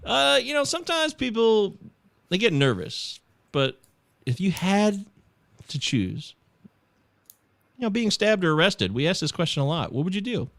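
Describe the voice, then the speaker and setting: A man hosting a podcast, excitable and animated, laughing easily as he asks questions. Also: high voice, moderate pace, creaky, long pauses, no room sound. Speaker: podcast host. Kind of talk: casual chat.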